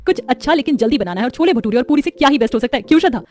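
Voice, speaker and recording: A woman playing a sharp-tongued, confident young daughter-in-law in a story, teasing and argumentative. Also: high-pitched, lively intonation, rapid-fire. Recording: music behind the voice.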